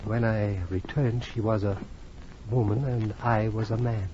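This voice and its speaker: A man's voice: lowish voice, flat delivery, a little slow, natural voice. A man acting a tormented, morbidly sensitive aristocrat in 1940s radio drama, nervous and melodramatic, repeating his words. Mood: brooding, confessional. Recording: dull, noisy vintage radio recording.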